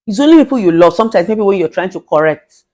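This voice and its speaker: A woman's voice: medium pitch, expressive, fast talker. A middle-aged Nigerian woman, a relationship therapist, giving emphatic advice with an authoritative, commanding voice and bits of Pidgin. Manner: forceful, emphatic, mixes in Pidgin phrases. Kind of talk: motivational advice monologue.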